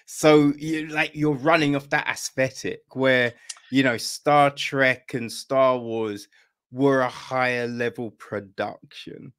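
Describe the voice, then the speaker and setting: A man's voice: mid-range voice, steady tone, slow pace, a bit bright, smooth. A middle-aged or older man hosting a casual interview over a video call. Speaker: podcast host. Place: room at home, on a video call.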